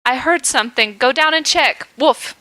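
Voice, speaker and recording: An anxious female golden retriever, barking and insistent, as a woman puts her on. The voice runs high and bright in a dry, close recording. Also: normal speed, no room sound.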